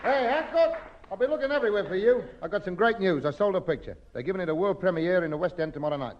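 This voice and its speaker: A man playing a brash, excited showbiz pal with great news in a 1950s radio comedy. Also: high-pitched, quick pace, some chatter behind, slight background noise. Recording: muffled old radio recording with audience.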